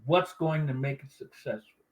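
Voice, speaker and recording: A man hosting a podcast, talking in a relaxed, easygoing way and drawing out his phrases. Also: mid-range voice, moderate pace, rough recording. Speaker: podcast host. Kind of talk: casual podcast chat.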